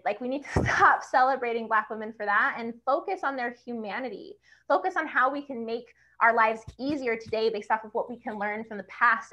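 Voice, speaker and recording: Young woman w a high bright voice, outspoken author chatting about her book. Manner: outspoken, animated, laughs often. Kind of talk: book event conversation.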